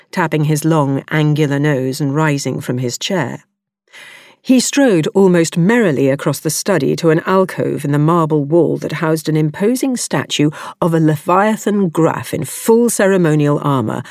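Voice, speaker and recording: A woman's voice: sits low, darkish tone, dry recording, clean studio sound. A woman reading a novel aloud as an audiobook, voicing the characters' lines with expression. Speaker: audiobook reader.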